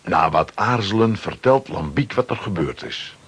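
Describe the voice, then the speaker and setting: A man's voice: low-pitched, expressive, normal speed, heavy background noise. A man reading scripted narration for a children's radio play.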